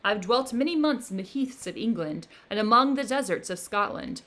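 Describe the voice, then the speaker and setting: Woman reader voicing a lonely, menacing male creature: bitter, vengeful, declaimed loud. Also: mid-range voice, expressive, a little fast, natural voice. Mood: accusing.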